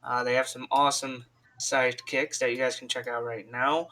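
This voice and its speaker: A man's voice: mid-range voice, little pitch movement, normal speed, sharp tone. A man co-hosting a wrestling podcast, giving casual, informal promotional patter and addressing listeners as folks. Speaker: podcast co-host. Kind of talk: casual sponsor read and banter on a wrestling podcast.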